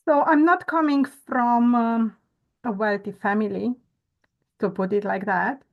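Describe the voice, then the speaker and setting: A woman, a guest telling her story earnestly and reflectively, pausing often on "uh" and "um". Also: fairly high pitch, fairly even intonation, phone-quality sound. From Romania. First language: Romanian. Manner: earnest, reflective, with frequent "uh" and "um" pauses. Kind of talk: conversational interview storytelling.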